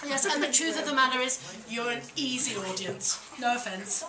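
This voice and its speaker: A woman's voice: high-pitched, animated, moderate pace, a crowd in the background. A British woman doing a stand-up comedy set to a live audience, with a dry, teasing, self-mocking delivery. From Britain. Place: function room with a live audience. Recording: echoey room, mic at a distance, loud noise. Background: audience laughter.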